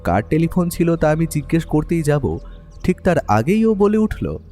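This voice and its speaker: A man performs a detective's writer companion narrating an audio mystery. His mellow, somewhat high voice moves with lively swings of pitch, expressive and literary. It carries long reverb over music. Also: normal speed. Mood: brisk, narrating. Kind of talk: scripted first-person story narration and drama acting.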